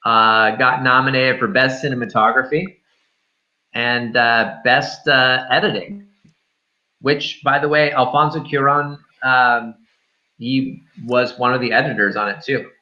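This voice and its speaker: A man chatting casually about films as a podcast host, in a hesitant, halting manner. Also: medium pitch, steady tone, bright, forceful tone, muffled recording. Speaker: film review podcast host. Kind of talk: casual movie discussion.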